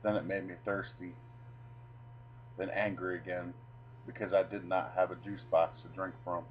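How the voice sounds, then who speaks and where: Low-voiced man, theatrical and deliberate. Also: little pitch movement, slight background noise. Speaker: video creator reading own short stories. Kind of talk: dramatic reading of a comic short story. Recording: dull lo-fi sound with music behind.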